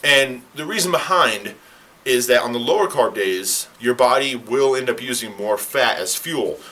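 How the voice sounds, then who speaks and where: A man who is a fitness coach, talking casually to the camera in a rambling, self-correcting way. He sounds slightly hoarse. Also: medium pitch, animated, moderate pace, bright, forceful tone. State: slightly hoarse, clearing throat. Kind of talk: casual explainer talk to camera.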